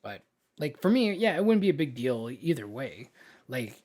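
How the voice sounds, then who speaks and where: Guy on a podcast just chatting, slangy and informal, regular pitched voice. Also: sing-song, moderate pace, a little mellow. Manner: informal, slangy, mild swearing. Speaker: podcast co-host. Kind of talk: casual chat about games and films.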